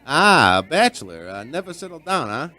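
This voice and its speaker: A man's voice: a little high, very animated, moderate pace, pushed and bright. A man playing a chatty, folksy bartender who tells his strange tale in a conversational radio drama style. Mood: friendly, curious. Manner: conversational, storytelling. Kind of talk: audio drama narration and dialogue.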